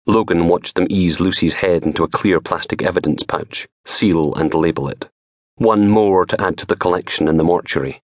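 A middle-aged man reading a crime novel aloud in a dramatic, measured storytelling manner. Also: low voice, no room sound. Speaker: author reading own audiobook. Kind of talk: scripted crime fiction narration.

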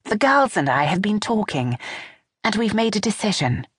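A woman's voice: medium pitch, big pitch swings, normal speed, breathy edge, no room sound, pitched above the performer's own voice. Hesitant, nervous widow, read by a woman, in a dry, close recording. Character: anxious widowed businesswoman, hesitant and placating.

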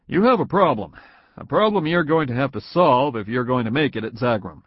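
A man's voice: mid-range voice, lively intonation, brisk, no room sound, natural voice. A man voicing a confident male executive in an audiobook, speaking firmly and emphatically. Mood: assured. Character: confident smiling male boss, direct and warm. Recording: dull lo-fi audio.

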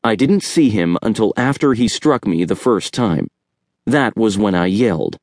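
A man voicing a gravely wounded young swimmer earnestly recounting an attack in an audiobook. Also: on the low side, very animated, moderate pace, darkish tone, no echo, studio quality. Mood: dazed, horrified.

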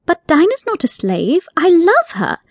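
A woman voicing an innocent, earnest young woman with a pleading manner, her pitch raised above her own voice. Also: huge pitch swings, measured pace, no echo, clean studio sound, exaggerated voice.

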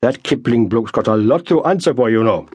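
A man voicing a sly, mock-humble army sergeant who heaps on elaborate flattery. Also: medium pitch, a little fast, slightly rough, no echo. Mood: scornful.

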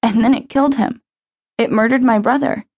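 Tearful teen girl, urgent and pleading, pitched high, read by a woman. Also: steady tone, moderate pace, a little mellow, close to an everyday voice. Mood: distraught. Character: grieving teenage girl, insistent and tearful.

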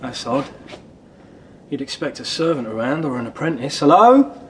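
A man playing a rough, hot-tempered Tudor assistant, blunt and forceful in a radio drama. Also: mid-range voice, big pitch swings, normal speed, a little hiss or hum, close to an everyday voice. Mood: muttering. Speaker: lawyer's assistant. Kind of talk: full-cast radio drama.

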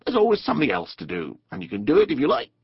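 A man's voice: on the low side, wildly swooping pitch, rapid-fire, gravelly, husky, no echo, highs cut off, full character voice. A man voicing a genial, worldly water rat in a storybook, a dreamy boating enthusiast with a hearty, composed manner. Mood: composed musing.

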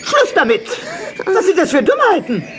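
A woman's voice: very high voice, wildly swooping pitch, moderate pace, fairly bright tone, exaggerated voice. An actress playing a grumpy but caring old female witch, hundreds of years old, in a theatrical, scolding children's audio play style. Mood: scolding, indignant. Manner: theatrical, scolding, swooping exclamations.

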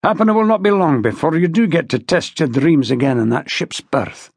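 A man's voice: on the high side, expressive, brisk, vocal fry, slightly rough, no room sound, full character voice. A male narrator acts an army major in a historical audiobook. The officer is composed, protective and wry, speaking in a very dry, close sound. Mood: wry, kindly. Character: calm Scottish infantry major, protective and wry.

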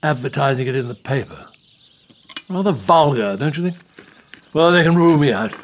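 A man's voice: mid-range voice, lively intonation, normal speed, a little hiss or hum, close to an everyday voice. An actor playing a pompous older Englishman in a radio drama, hesitant and blustering, with clipped phrases. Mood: disdainful. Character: pompous older English husband, ex-colonial police type.

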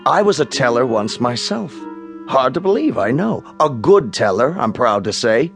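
A man playing a pompous, stern bank president in a radio drama, speaking in precise, emphatic, drawn-out phrases. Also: medium pitch, big pitch swings, creaky, soft background music. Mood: self-important.

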